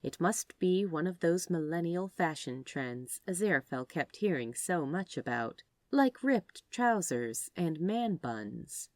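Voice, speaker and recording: A woman reading fan fiction aloud as scripted, expressive storybook narration. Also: on the low side, measured pace, few pauses, no room sound, studio quality. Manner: expressive read-aloud delivery. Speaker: podfic narrator.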